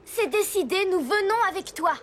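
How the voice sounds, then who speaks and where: Very high, eager little child. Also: female voice, lively intonation, moderate pace. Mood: eager, caring. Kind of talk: French animated series dubbing.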